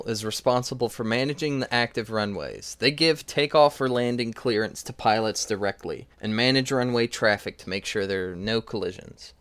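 A man's voice: mid-range voice, a bit bright, clean tone, dry recording. A young man reading a scripted lecture as a student presenter. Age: young adult.

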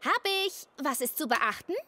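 A woman voicing a plucky but self-doubting young girl racer in a cartoon, youthful and expressive. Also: high-pitched, normal speed, heavily acted. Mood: upbeat. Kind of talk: German cartoon dub acting. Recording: music behind the voice.

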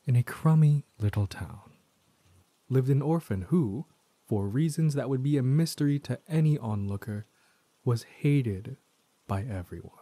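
A man performs a tormented villain spirit speaking through a possessed body in an audio roleplay. The voice is dark and mellow with a breathy husk. He speaks slowly and theatrically, with deliberate menace, close and dry. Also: mid-range voice, no echo. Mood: smug menace. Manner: theatrical, slow and deliberate, dropping into whispers. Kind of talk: scripted dramatic audio roleplay monologue.

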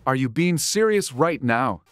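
A woman's voice: very deep voice, lively intonation, unhurried, dry recording, clean studio sound. A woman playing a protective, peacemaking sixteen-year-old boy in a dramatised online story. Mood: firm, protective.

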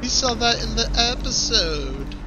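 A man's voice: high voice, expressive, a little slow, music in the background. A man hosting a game stream, doing playful commentary and theatrical amateur readings, stumbling a little as he reads. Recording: clearly noisy voice track.

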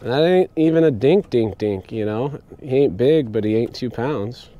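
A man's voice: mid-range voice, expressive, normal speed, dull audio. A man chatting and teasing his buddies while fishing from a boat on a river, filmed by an action camera. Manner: joking, coaching, teasing. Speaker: fishing guide and channel host. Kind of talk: casual banter while fishing. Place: small boat on a wide river outdoors.

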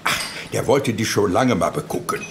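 An actor playing a folksy, gossipy older uncle with a chummy, colloquial manner in a crime radio drama. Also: male voice, lowish voice, animated, moderate pace, gravelly, background noise.

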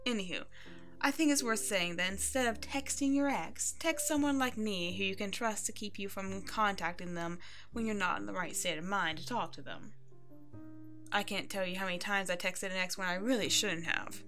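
A woman's voice: mid-range voice, moderate pace, fairly bright tone, natural voice. A woman playing a chatty, caring, playful hairstylist who comforts a client in an ASMR roleplay. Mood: playful, upbeat. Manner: chatty, bubbly, reassuring. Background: background music.

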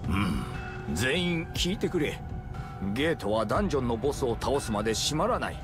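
Man acting middle-aged male hunter chief, authoritative, deliberate, very expressive intonation, game cutscene, clear music behind. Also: medium pitch, natural voice. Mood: serious, deliberate. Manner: measured, authoritative. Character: commanding, deliberate male leader of a hunter party.

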